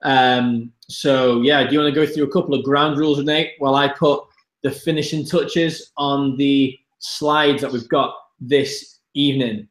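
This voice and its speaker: A man's voice: medium pitch, level tone, a little slow. A man from the north-east of Wales hosting a live online masterclass, talking casually and speaking straight to his viewers. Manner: chatty, addresses viewers directly. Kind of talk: casual live-stream presentation. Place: room, Budapest. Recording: roomy echo.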